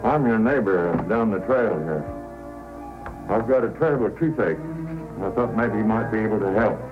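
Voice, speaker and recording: An actor playing a pleading, shifty crook in an old-time radio drama. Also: male voice, on the low side, moderate pace, phone-quality sound, over music, close to an everyday voice. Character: pleading, shifty male crook seeking painkiller.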